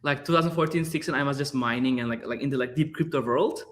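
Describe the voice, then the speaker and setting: A man's voice: medium pitch, quick pace, fairly bright tone, a little hiss or hum. A man in his thirties from Turkey with a Turkish accent, answering interview questions in a searching way, with frequent fillers and repeated words. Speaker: guest artist.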